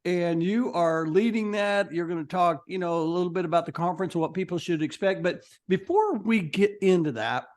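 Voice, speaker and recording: An upbeat male podcast host with a fairly high voice for a man. He talks with eager enthusiasm, often restarting his sentences and adding fillers as he introduces and questions his guest. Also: animated, a little fast, hardly any pauses, darkish tone. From Texas.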